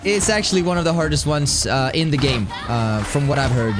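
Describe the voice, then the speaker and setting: A man with a light, high voice chats animatedly through a live game stream. His pitch jumps up and down as he rambles and laughs, and music plays behind him. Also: expressive, a little fast. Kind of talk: casual live-stream chat while playing. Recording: music playing underneath.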